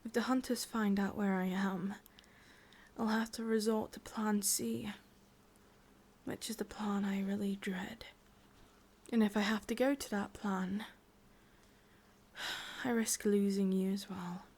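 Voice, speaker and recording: A woman with an English accent voicing an ancient, possessive, wistful witch in a slow, intimate, breathy ASMR whisper. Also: medium pitch, fairly even intonation, darkish tone. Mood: gently possessive. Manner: slow, intimate, musing, with lingering pauses. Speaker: ASMR roleplay performer. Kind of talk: whispered ASMR roleplay monologue.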